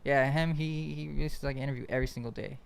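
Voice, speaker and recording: A man's voice: medium pitch, fairly even intonation, creaky, over a noisy crowd. A man in his twenties chatting casually on a podcast, slangy and loose, with lots of "like". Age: 20s. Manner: slangy, fast, repeats "like" often.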